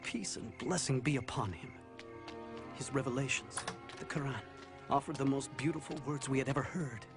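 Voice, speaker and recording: A man giving dramatic scripted narration for an animated religious story, in an earnest and reverent manner. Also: mid-range voice, normal speed. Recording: background music under the voice.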